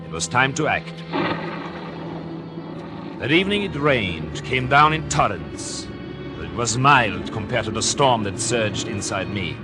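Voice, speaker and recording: Brooding American doctor, dramatically swooping voice. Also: male voice, lowish voice, very animated, unhurried, long pauses, over music. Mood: brooding, tense. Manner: intense, melodramatic. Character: brooding doctor secretly plotting to kill his wife. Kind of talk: 1940s radio acting, first-person narration and dialogue.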